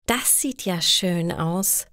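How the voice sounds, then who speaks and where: Woman reading as anxious, slow-talking storybook worker. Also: fairly low pitch, fairly bright tone, no echo, studio quality. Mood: worried. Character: Tina, anxious care-home worker facing snowy roads.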